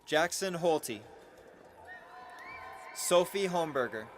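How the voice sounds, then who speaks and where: A man reading out a formal ceremony roll call of names in a measured, deliberate way, one short phrase at a time. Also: fairly high pitch, expressive, some chatter behind, slight background noise. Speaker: announcer reading graduates' names. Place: high school graduation ceremony, amplified announcement.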